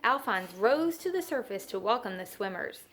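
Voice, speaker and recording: A woman's voice: mid-range voice, expressive, normal speed, fairly bright tone, a little hiss or hum. A woman teacher reading a children's story aloud and adding simple explanatory asides.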